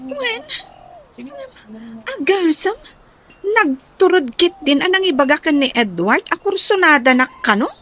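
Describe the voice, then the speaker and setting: A woman's voice: very high voice, big pitch swings, measured pace, pauses often, fairly bright tone, heavily acted. A young woman with an Ilocano Filipino accent acting a sassy, defiant student and daughter in a radio comedy-drama. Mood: disdainful.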